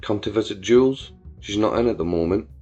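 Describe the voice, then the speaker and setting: A man voicing a calm, creepy, deferential henchman in an audio story, speaking casually and matter-of-factly. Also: lowish voice, fairly quick, lo-fi sound, soft background music, voice pushed down, lightly acted. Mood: casually sinister.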